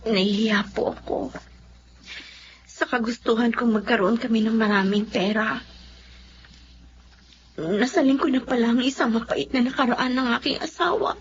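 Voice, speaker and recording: An ambitious young Filipino wife played by an actress, with a very high, emotional, pointed voice. The radio drama recording is muffled, with a little noise behind her. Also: moderate pace, pauses often, lightly acted. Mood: remorseful. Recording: muffled audio with faint background noise.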